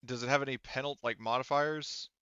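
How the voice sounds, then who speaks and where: Clear-voiced man running a tabletop game. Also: medium pitch, fairly even intonation, fairly quick, pushed and bright, dry recording, dull audio. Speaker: game master. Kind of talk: narrating a tabletop sci-fi horror game.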